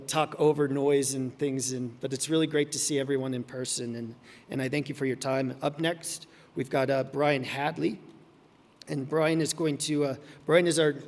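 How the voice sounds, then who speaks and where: A man giving a conference talk, hoarse and losing his voice, halting with many ums and restarts. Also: medium pitch, darkish tone, long reverb tail. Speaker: conference presenter. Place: conference hall.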